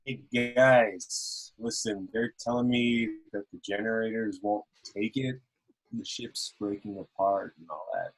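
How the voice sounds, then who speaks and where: A man's voice: lowish voice, fairly even intonation, a little slow, breathy edge, poor audio. A man playing an unflappable, casual starship engineer in a script read, nonchalant about everything. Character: unflappable, laid-back male starship engineer. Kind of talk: screenplay table read acting.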